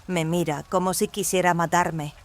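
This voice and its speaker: An actor voicing a gentle female clone in an audio drama, explaining things earnestly and pleadingly. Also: medium pitch, fairly even intonation, normal speed, natural voice. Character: soft-spoken, pleading female clone, peaceable and evasive. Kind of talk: dramatized audio fiction acting. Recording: music behind the voice.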